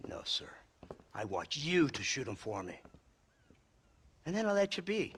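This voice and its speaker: An actor playing a smug, menacing government lawman in a game, with an American accent, a husky voice and condescending mock politeness. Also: a little high, big pitch swings, a little slow, fairly bright tone, heavily acted. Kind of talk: video game cutscene acting.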